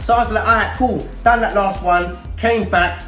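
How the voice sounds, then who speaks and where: A man's voice: sits high, normal speed. London man telling street stories, roomy echo. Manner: animated, emphatic, acting out dialogue. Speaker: storyteller and youth mentor. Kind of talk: street-slang personal storytelling aimed at young people. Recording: roomy echo with faint music underneath.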